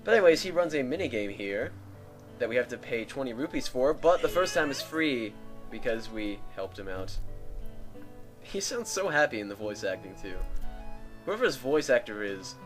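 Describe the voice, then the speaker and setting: Lets play guy rambling, raspy dry throat, bit of game music behind. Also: medium pitch, very animated, normal speed. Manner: rambling, chatty. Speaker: let's play host. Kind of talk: casual gameplay commentary. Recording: home mic with static.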